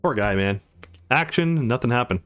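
A man's voice: medium pitch, animated, moderate pace, fairly bright tone, smooth. A man hosting a let's play, reading visual novel narration aloud, with music behind him.

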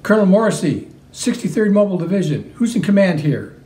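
A man's voice: sits high, sing-song, quick pace, warm tone, low-quality recording. A man voicing a dismissive, commanding army colonel who speaks curtly and condescendingly. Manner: curt, condescending.

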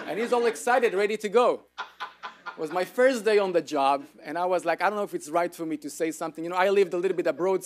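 A middle-aged man with an Israeli accent giving a keynote business lecture, with informal, humorous storytelling. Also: sits high. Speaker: keynote speaker.

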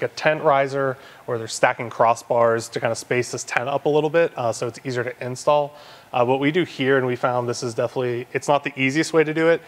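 Young male shop presenter, easygoing, mid-pitched, lapel mic in a garage workshop. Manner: relaxed, frequent ums and uhs. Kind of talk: casual product walkthrough. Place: large indoor garage workshop.

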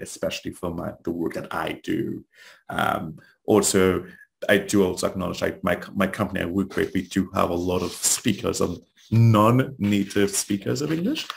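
A man's voice: sits low, vocal fry, rough recording. A man from Trinidad with a Trinidadian accent, giving a conversational webinar talk as a trainer and asking rhetorical questions.

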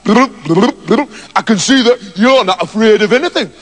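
A man voicing a gruff male robber who speaks in a slow, menacing way, in a storybook reading. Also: high voice, lively intonation, in a large hall, heavily acted.

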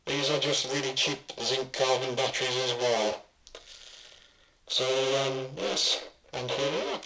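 A man's voice: mid-range voice, level tone, unhurried, bright, forceful tone, reverberant, soft background music, low-quality recording. A middle-aged man giving a casual demonstration in a hesitant way, his voice run through a robotic ring modulator effect. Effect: ring modulator robot voice changer. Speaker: prop maker. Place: small domestic room, indoors. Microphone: camera a short distance away.

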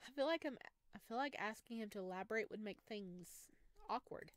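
A woman's voice: medium pitch, expressive, fairly quick, no room sound. A woman hosting a gaming video, reading a visual novel aloud and adding casual commentary.

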